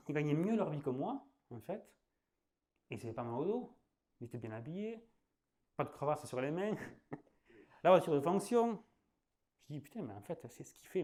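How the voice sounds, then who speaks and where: A man in his late thirties with a southwestern French accent, giving a sales masterclass in a relaxed, rambling way, leaning on fillers and laughing at himself. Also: mid-range voice. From southwest France. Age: 30s. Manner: chatty, loose, full of fillers, laughs at himself. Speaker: sales trainer. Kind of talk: casual spoken masterclass.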